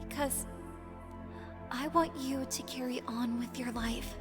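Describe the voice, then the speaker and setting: A woman's voice: high voice, steady tone, a little slow. A woman playing a heartsick young woman in a visual novel, giving a tender, earnest farewell over music. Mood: tender, sorrowful. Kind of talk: visual novel voice acting, reading a farewell letter. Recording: loud new-age music under voice. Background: new-age music.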